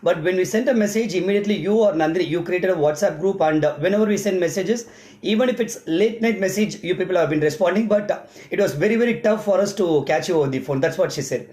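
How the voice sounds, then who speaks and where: Indian guy, emcee type, explaining stuff really quick with examples, echoey room. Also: high-pitched, a little mellow. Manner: repetitive, step-by-step explaining with examples. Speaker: event emcee and host. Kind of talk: informal explanatory vlog monologue. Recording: roomy, echoing sound.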